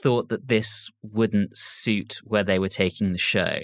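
A man's voice: on the low side, fairly even intonation, takes it slowly, dry recording. A man hosting a casual review discussion on a podcast. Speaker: podcast host.

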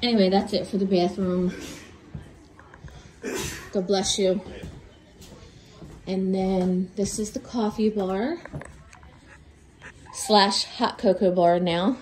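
A woman's voice: mid-range voice, fairly even intonation, takes it slowly, long pauses, darkish tone, slight background noise, rough recording. A woman getting over a cold, sounding a little congested, chatting casually as she films a home tour and says where she bought each item. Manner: chatty, listing where items were bought. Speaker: home vlogger. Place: house interior, walking room to room. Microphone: handheld phone camera.

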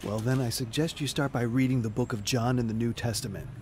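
An actor playing a kindly, assured street evangelist, reassuring and earnest, in an audio drama. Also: male voice, medium pitch, fairly even intonation, brisk, a little mellow, a little hiss or hum, close to an everyday voice.

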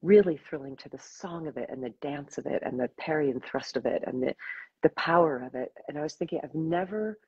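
A woman's voice: low voice, a little fast, a little mellow, slightly rough, highs cut off. Effusive older woman on a video call. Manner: effusive, enthusiastic, laughs often. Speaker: host. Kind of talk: casual interview. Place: home room with plants.

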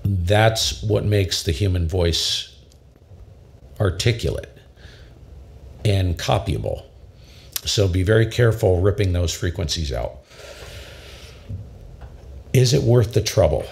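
A man in his fifties with a very low voice, enthusiastically explaining ham radio audio gear in a casual tutorial from his home radio room. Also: animated, slow, gravelly, pauses often, darkish tone. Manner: enthusiastic, emphatic, repeats himself. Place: home radio shack, small indoor room. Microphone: studio condenser mic with pop filter.

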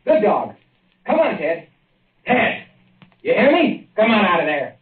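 An actor playing an odd, secretive small-town American plumber who talks in a folksy, understated way, in old-time radio drama style. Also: male voice, high-pitched, huge pitch swings, measured pace, heavily acted. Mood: urgent calling. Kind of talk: 1940s radio drama acting. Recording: muffled, noisy old radio recording.

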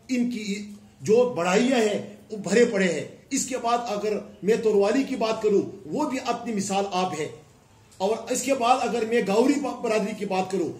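A man's voice: high-pitched, a little mellow. A middle-aged man from Pakistan, a former councillor, giving an emphatic press conference statement into a cluster of microphones. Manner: emphatic, gesturing with raised hands, speaking in listed repetitions. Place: indoor room before a plain wall. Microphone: cluster of press microphones on a table. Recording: echoey room.

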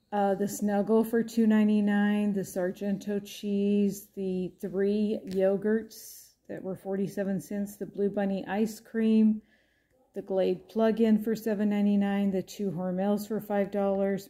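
A woman's voice: mid-range voice, mellow. An American woman casually narrating a shopping haul, chatting through it item by item. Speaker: grocery haul vlogger. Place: indoors at a home dining table.

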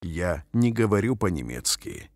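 A man reading short phrases aloud slowly and carefully, each one repeated, for language learners. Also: deep voice, very animated, dry recording. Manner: careful, clearly spaced phrases. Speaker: language teacher. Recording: dry studio voice-over.

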